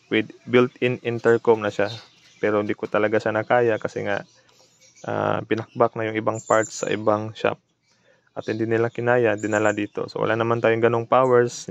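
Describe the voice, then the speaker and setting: Guy from talking thru a repair vid, monotone, drops english terms, kinda muffled audio. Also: mid-range voice. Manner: plainspoken, mixes in English terms. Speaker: electronics repair technician and video host. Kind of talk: casual repair vlog narration.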